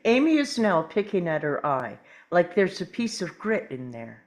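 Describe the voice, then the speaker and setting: A woman narrating scripted stage directions at a table read, measured, with dramatic pauses. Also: on the low side, big pitch swings.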